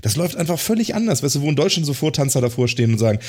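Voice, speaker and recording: Lively, husky-voiced German man. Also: a little high, rapid-fire. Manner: enthusiastic, acts out cheering audiences. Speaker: podcast co-host. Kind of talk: casual chat.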